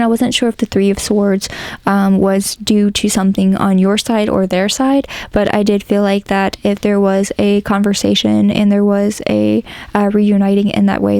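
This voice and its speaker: A woman narrates a tarot reading in a loose, rambling way, full of ums and likes. Her voice is hoarse and gravelly, with a mellow tone. The recording is close and dry. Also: mid-range voice, dry recording. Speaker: tarot reader.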